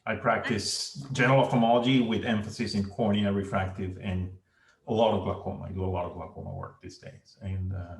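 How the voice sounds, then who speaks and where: A man's voice: sits low, steady tone, brisk, slight background noise. A man from Venezuela, an eye doctor, talking casually in an interview with many restarts and fillers. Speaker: ophthalmologist guest. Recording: echoey room, distant from mic.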